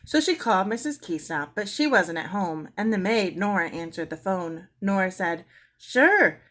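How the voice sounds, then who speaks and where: A woman's voice: lowish voice, normal speed. A woman reading a children's storybook aloud as an amateur narrator. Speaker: amateur audiobook reader.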